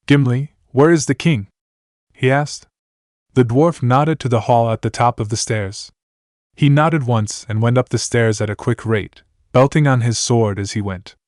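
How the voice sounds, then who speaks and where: A man reading a fan fiction story aloud in a steady, plain way. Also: mid-range voice, dry recording, clean studio sound. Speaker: narrator.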